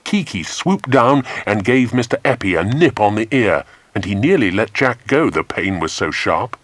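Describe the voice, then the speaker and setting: Male audiobook narrator, lowish voice, lively range, dramatic children's storytelling. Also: normal speed, dry recording.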